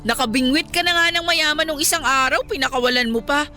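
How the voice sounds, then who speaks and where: A woman playing a good-natured young best friend in a radio drama, chatty, coaxing and gently scolding, with a Filipino accent. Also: high voice, fast talker, soft background music. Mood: chiding, teasing.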